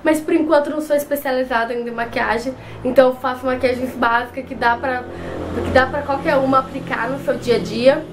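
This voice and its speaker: A woman's voice: high-pitched, fairly even intonation, a little fast, noisy background. A young woman with a cold, chatting her way through a makeup tutorial in her bedroom, talking close to the camera and often restarting words. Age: young adult. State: has a cold. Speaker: beauty vlogger. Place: small bedroom with shelves and a clothes rack. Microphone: camera close in front.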